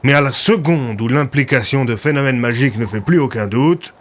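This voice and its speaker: A man playing a gruff, businesslike police inspector in an audio drama, speaking bluntly and colloquially. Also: mid-range voice, a little fast, close to an everyday voice. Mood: serious, insistent.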